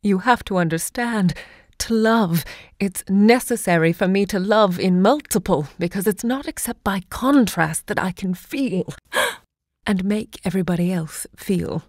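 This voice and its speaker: An actress playing a passionate, proud literary hostess and lover, narrating an audio drama with dramatic, deliberate delivery. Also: female voice, mid-range voice, no room sound, studio quality, lightly acted. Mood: ardent, proud.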